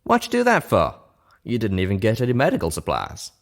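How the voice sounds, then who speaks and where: A man's voice: on the low side, huge pitch swings, fairly quick, gravelly, exaggerated voice. A man voicing a blunt, folksy male pony with a huffy manner in a story reading. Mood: indignant. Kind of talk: character dialogue in a fan fiction reading.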